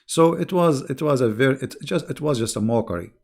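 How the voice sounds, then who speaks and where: A man's voice: mid-range voice, animated, fairly quick. A man whose first language is Arabic delivers a combative, mocking monologue in broken English grammar. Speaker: online religious debater. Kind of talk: casual ranting monologue.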